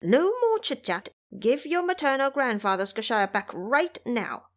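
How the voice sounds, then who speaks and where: A woman voicing a boastful male monkey king warrior who taunts and threatens his foes loudly. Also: a little high, big pitch swings, unhurried. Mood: defiant. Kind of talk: audio drama voice acting.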